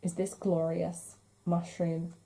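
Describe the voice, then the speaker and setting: A woman's voice: fairly low pitch, flat delivery, takes it slowly, creaky, warm tone, slight background noise, rough recording. A woman hosting a craft podcast, chatting casually and enthusiastically as she shows off her finds. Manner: enthusiastic, gushing. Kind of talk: casual vlog chat, showing craft magazines.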